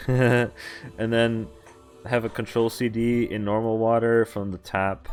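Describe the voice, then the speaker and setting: A man's voice: medium pitch, level tone, slow pace, darkish tone, soft background music. A man streaming a visual novel, reading its text aloud and dropping in casual reactions.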